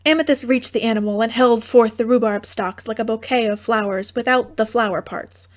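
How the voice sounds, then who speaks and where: A woman's voice: fairly high pitch, fairly quick. A woman narrating a comic fairy tale audiobook in a theatrical, droll manner with wry asides.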